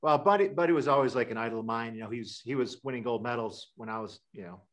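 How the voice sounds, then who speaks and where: A man's voice: mid-range voice, fast, a bit bright. A middle-aged man from Wisconsin, a sailor answering interview questions in a loose, rambling way with many fillers and restarts, over a webcam. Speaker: guest sailor. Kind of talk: casual interview talk. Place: indoor room.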